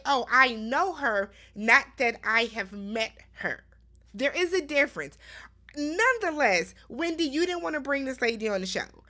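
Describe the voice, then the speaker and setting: A woman's voice: high-pitched, sharp tone. A woman giving casual, chatty recap commentary as a host, emphatic and opinionated, often addressing her listeners directly.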